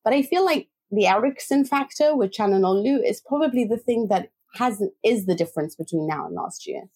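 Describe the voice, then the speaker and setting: A woman's voice: mid-range voice, animated, a little fast, warm tone, breathy edge. A woman co-hosting a football chat, talking casually and often adding "you know". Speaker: football podcast co-host.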